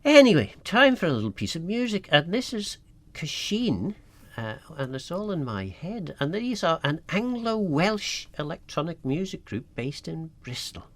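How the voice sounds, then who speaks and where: A man's voice: fairly high pitch, big pitch swings, normal speed, fairly bright tone, dry recording. A man presenting a radio show in casual chat, hesitant and full of fillers and restarts. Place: radio studio.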